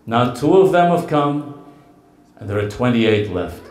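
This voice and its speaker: A man voicing a worried but clever male magician who explains things slowly. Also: mid-range voice, sing-song, a little mellow, pitched below the performer's own voice. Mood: deliberate. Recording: roomy echo.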